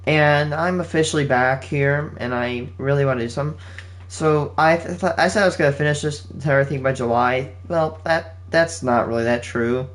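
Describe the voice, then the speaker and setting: A man's voice: fairly high pitch, normal speed. Rambling eighteen-year-old man. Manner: rambling, with frequent restarts and fillers. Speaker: channel host. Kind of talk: casual spoken update to viewers. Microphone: home setup with game console running nearby.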